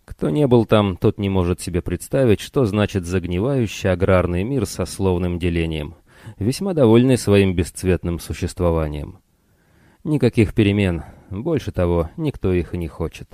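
A man's voice: low-pitched, lively intonation, normal speed, warm tone, dry recording, clean studio sound. A man voicing a wry, teasing, roguish space traveller in a science fiction audiobook. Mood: bitter, candid.

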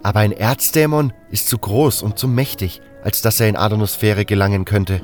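A man's voice: fairly low pitch, moderate pace, a little mellow, natural voice. Learned apprentice mage , male reader, pondering and explanatory, fantasy audio drama, music underneath. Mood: sceptical, lecturing. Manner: explanatory, reasoning step by step.

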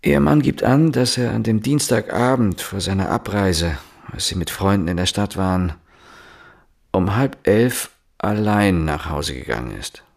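An actor playing an insistent, probing police inspector, questioning deliberately in a naturalistic radio drama. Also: male voice, fairly low pitch, vocal fry. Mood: stern, probing.

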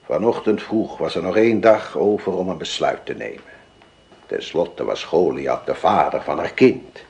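A sly old profiteer and father in a radio play. His voice is deep and he recounts things deliberately, with theatrical weight and calculation. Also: gravelly, a little mellow. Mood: grave, knowing. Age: older. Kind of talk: radio drama acting.

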